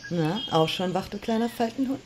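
A woman's voice: medium pitch, animated, a little fast, over a noisy crowd, close to an everyday voice. A woman acting a bossy, teasing and curious Eve in a comedic audio drama. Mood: mocking. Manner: sassy, teasing.

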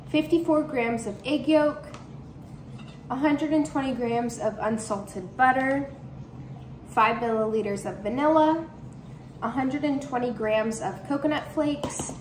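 Young woman listing cake ingredients in her kitchen, kinda high voice, echoey room w/ some noise. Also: darkish tone. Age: young adult. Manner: reads measurements off steadily, step by step. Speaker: home cook presenting a recipe. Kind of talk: cook-along tutorial, listing ingredients. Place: home kitchen, indoors. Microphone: camera in front at waist distance.

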